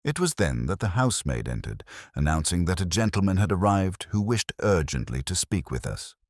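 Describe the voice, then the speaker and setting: Man reading a detective audiobook, super deep voice, lively intonation, dry sound. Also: brisk, no echo. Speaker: audiobook narrator. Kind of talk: scripted narration of a classic detective story.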